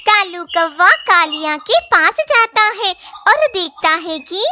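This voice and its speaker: A woman's voice: very high voice, expressive, slow pace, bright, forceful tone, muffled recording. A woman narrating a children's cartoon story as a scripted read, on a noisy recording. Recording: very noisy, with clicks.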